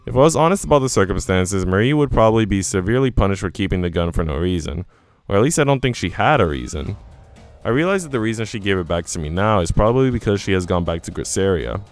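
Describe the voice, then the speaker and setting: Male let's player, lowish clean voice, narrating a visual novel expressively with off-script remarks, soft music underneath. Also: no echo. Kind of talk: expressive read-aloud of story narration and dialogue.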